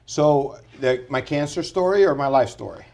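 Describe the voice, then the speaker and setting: A middle-aged man from Puerto Rico, a guest on a podcast, telling his life story in an earnest, animated way. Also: medium pitch, normal speed, gravelly. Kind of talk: casual interview storytelling.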